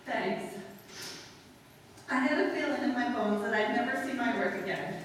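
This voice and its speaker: A woman's voice: fairly high pitch, moderate pace, a little hiss or hum, natural voice. Woman voicing a brassy blunt war widow, slangy, impatient, long hall echo, heard from a distance. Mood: tearful. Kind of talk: live old-time radio drama. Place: live stage performance. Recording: echoey hall, mic heard from far.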